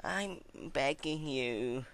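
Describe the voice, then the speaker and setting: A man's voice: medium pitch, extremely slow, vocal fry, fairly bright tone, voice pushed up, heavily acted. A man voicing a weak, starving captive pony princess who pleads meekly in a fan-fiction story. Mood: desperate pleading.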